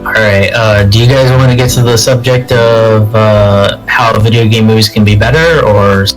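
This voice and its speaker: A man's voice: fairly low pitch, steady tone, normal speed, rough recording. A man hosting a podcast in casual panel discussion. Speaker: podcast host. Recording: music behind the voice.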